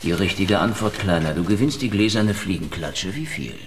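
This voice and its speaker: A man's voice: low voice, animated, moderate pace, noisy background. A man playing a cynical, wisecracking Russian detective in a radio drama, sarcastic and needling. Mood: cocky, mocking. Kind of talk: hard-boiled radio drama dialogue. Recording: slightly distant, music behind.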